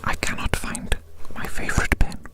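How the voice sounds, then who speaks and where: An ASMR performer voicing a doting but fussy, scolding creature who examines a patient, in a breathy whisper with repeated phrases. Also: moderate pace, lightly acted. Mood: fussy, grumbling.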